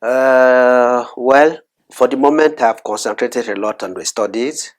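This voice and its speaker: A middle-aged man from Cameroon, a guest in an interview, talking in a measured, soft-spoken way with frequent hesitations. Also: medium pitch. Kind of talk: interview conversation.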